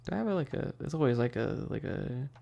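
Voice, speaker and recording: A livestream host chatting casually and informally in a soft, close whispery ASMR delivery into a binaural mic, rambling with teasing asides to chat. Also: mid-range voice, lively intonation, fast talker, gravelly, lo-fi sound. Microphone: close binaural microphone. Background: tapping and keyboard clicking.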